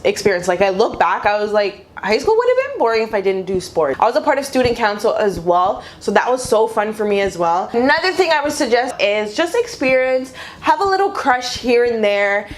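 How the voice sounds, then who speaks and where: A young adult woman chatting casually to viewers as a vlogger, giving advice in an informal way and repeating phrases for emphasis. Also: mid-range voice.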